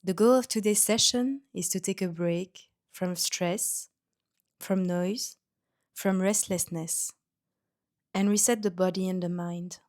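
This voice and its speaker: A woman guiding a yoga nidra meditation in a gentle, soothing manner, leaving pauses between short instructions. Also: on the low side, fairly even intonation. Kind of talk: guided meditation.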